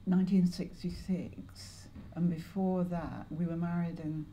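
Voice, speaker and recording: An elderly woman with an English accent recalls her past in an oral history interview. Her voice is lowish and notably soft-edged and dark. She speaks in a measured, halting way, pausing as she remembers, with a little noise behind her. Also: level tone, poor audio. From Lancashire, northwest England. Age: 70s or older. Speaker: interviewee, longtime community volunteer.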